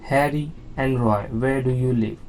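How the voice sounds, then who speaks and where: A man at a mid-range pitch teaches beginners at a very slow, deliberate pace. He repeats key words, checks in with "okay?", and walks through each slide patiently. Faint music plays underneath. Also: a little mellow, poor audio. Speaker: language teacher. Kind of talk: slow beginner lesson explaining on-screen slides.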